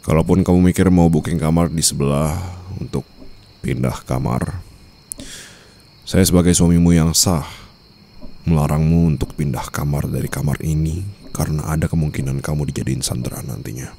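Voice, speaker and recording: A man playing a cold, domineering mafia boss and teasing new husband in an ASMR roleplay, speaking in a deliberate, menacing way. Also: rumbling low, warm tone. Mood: firm, commanding. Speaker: roleplay voice performer.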